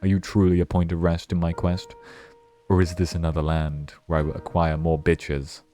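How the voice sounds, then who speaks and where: A young man reading a chat message aloud as a solemn, battle-scarred wandering knight, in slow, grandiose mock-medieval phrasing. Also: low-pitched, monotone, mellow, natural voice. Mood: solemn, weary.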